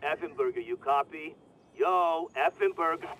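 A man playing an obnoxious cop in a video game, taunting crudely with drawn-out emphasis over a police radio. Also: fairly high pitch, a bit bright, faint music behind, low-quality recording. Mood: mocking. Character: obnoxious, mocking male cop bullying a rookie. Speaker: police colleague. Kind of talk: video game cutscene acting. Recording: police radio with faint noise.

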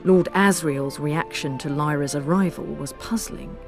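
A man reading scripted, storybook-like narration in a solemn, measured manner. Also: a little high, soft background music.

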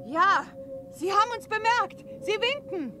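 A woman's voice: very high-pitched, big pitch swings, unhurried, music in the background, heavily acted. An actress playing a courageous, headstrong young woman adventurer in a science fiction radio play. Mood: excited.

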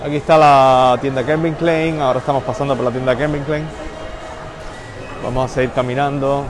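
A man's voice: medium pitch, steady tone, brisk. A man hosting a live walking tour through a busy shopping mall, greeting viewers by name as he goes. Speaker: livestream host. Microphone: handheld phone camera. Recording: phone live stream with loud mall noise. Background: crowd and mall ambience.

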